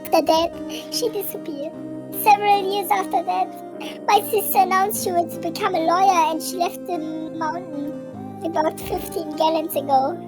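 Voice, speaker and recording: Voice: very high-pitched, steady tone, normal speed, music in the background. An amateur performer voicing a forlorn, earnest young female spirit medium, telling things plaintively in a comedic game dub.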